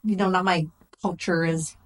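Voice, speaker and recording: A woman's voice: lowish voice, fairly even intonation, moderate pace. Chatty middle-aged woman therapist. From Greek family background. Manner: chatty, repetitive, says "you know" and "right" often. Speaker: therapist guest. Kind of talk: casual interview conversation.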